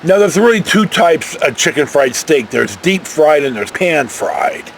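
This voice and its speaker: An older American man telling travel stories casually, in a folksy, meandering way with animated emphasis. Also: fairly high pitch, unhurried, slight background noise, low-quality recording. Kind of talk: casual rambling storytelling.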